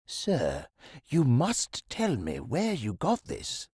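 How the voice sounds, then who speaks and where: Fussy professor in an adventure game, husky, pitch jumps all over the place, formal wording. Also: male voice, wildly swooping pitch, a little mellow, no echo, clean studio sound, heavily acted. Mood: agitated, evasive. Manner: formal, articulate, slightly hurried. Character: busy, modest academic professor, evasive and fussy. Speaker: voice actor. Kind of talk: scripted point-and-click game dialogue.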